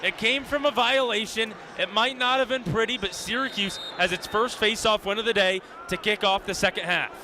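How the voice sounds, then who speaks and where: A young man with a high voice works as a radio play-by-play announcer. He calls the action live in short, vivid phrases, over clear background noise from the venue. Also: normal speed. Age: young adult. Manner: clipped, vivid present-tense calls. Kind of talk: live sports radio commentary. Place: live at a lacrosse game. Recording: noisy live stadium broadcast. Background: venue ambience and noise.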